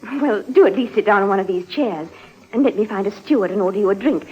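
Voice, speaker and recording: An actress playing a kindly young village woman in an old radio drama, gentle, sympathetic and insistent. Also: medium pitch, expressive, fast, breathy edge. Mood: concerned, solicitous. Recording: dull vintage radio recording.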